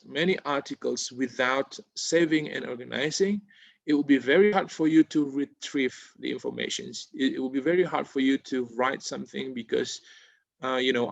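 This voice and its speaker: A man's voice: mid-range voice, gravelly, dry recording. A man in his thirties whose first language is Japanese, presenting a screen-share tutorial in a webinar, with hesitant speech full of fillers and restarts, recorded on a laptop mic over a video call at home. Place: indoor room at home.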